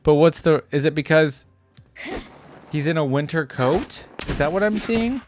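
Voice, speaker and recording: A man's voice: on the high side, animated, a little slow. A man giving casual, off-the-cuff reaction commentary, like a video creator talking through what he sees.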